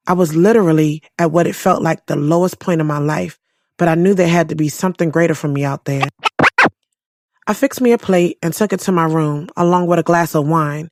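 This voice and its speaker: A woman's voice: on the low side, no echo, clean studio sound, natural voice. A woman playing a sassy young writer, telling her life story frankly and conversationally in an audio drama, with some cursing. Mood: determined, then reflective. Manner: frank, profane, confiding.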